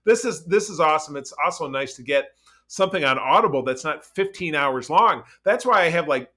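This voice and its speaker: Bright-voiced man, rambling enthusiast. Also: on the high side, lively intonation, a little fast. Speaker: podcast co-host reviewing pop culture. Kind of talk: casual chat.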